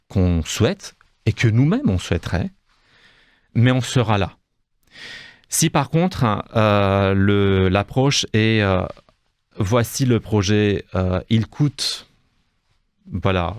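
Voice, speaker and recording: Hesitant Romanian-accented man, low voice. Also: clean tone, dry recording, studio quality. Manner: hesitant, many restarts and fillers. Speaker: guest, cultural institute deputy director. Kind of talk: radio interview. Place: radio studio.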